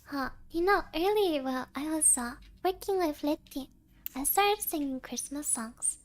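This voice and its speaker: A young woman chatting casually as a streamer in a cutesy manner, with soft interjections and some Japanese words mixed in. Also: high-pitched, lively intonation, normal speed. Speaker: VTuber co-host. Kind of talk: casual livestream chat.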